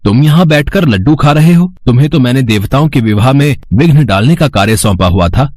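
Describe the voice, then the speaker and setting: Commanding male god, played by a man, animated pitch. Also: brisk, lightly acted. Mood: scolding. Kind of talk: animated devotional story dialogue.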